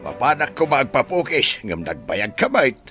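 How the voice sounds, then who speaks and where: A man's voice: mid-range voice, big pitch swings, moderate pace, bright, forceful tone, faint music behind. A man playing a cheeky, needling fellow in a radio drama, teasing in a Filipino accent.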